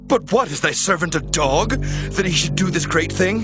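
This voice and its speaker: An actor playing an earnest, deferential Syrian royal envoy in a theatrical, courtly scripture drama style. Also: male voice, high voice, lively intonation, normal speed, over music. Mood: indignant.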